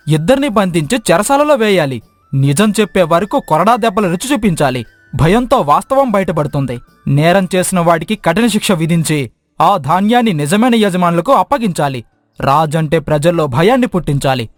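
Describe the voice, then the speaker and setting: A man voices a proud, merciless young prince from a moral tale, high-pitched and swinging widely in tone. He speaks with haughty authority. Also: very animated, a little fast, dry recording, soft background music. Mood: haughty, severe. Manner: haughty, forceful phrasing. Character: arrogant, harsh young prince.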